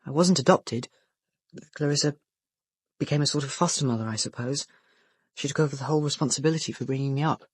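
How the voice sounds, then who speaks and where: An actor playing a polite, earnest teenage schoolboy in a radio play, speaking hesitantly and deferentially. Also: male voice, medium pitch, fast talker, long pauses, slightly rough, no echo, close to an everyday voice.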